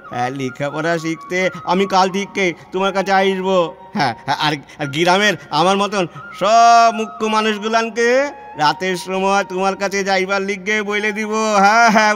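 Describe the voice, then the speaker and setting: A man playing a simple, eager rustic villager with a rural Bengali dialect in an audio play, deferential and halting, often repeating himself. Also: high voice, a bit bright, faint music behind. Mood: agreeable, eager. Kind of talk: solo audio-play acting.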